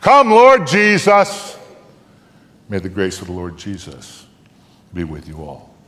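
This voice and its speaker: A man in his sixties gives a solemn, theatrical live dramatic reading of scripture from a stage, with measured delivery. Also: lowish voice, huge pitch swings, slight background noise. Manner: theatrical, solemn, measured, swelling to loud declamation and dropping to hushed tones. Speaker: dramatic reader reciting scripture. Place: large theatre stage, indoors. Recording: echoing hall, long reverb.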